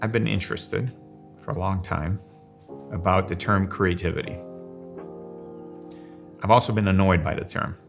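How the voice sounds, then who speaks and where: Low gravelly older man, measured. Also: steady tone, over music. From Finland, grew up in Rome. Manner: thoughtful, measured, slows down to stress key words. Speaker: strategic designer and professor. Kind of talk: reflective interview monologue.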